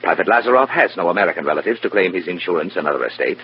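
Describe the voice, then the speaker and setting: An actor playing a stern, contemptuous Communist Party boss with clipped, formal, imperious speech in an old radio drama. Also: male voice, deep voice, lively intonation, racing. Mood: cold, authoritative. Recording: dull, noisy, humming old radio recording.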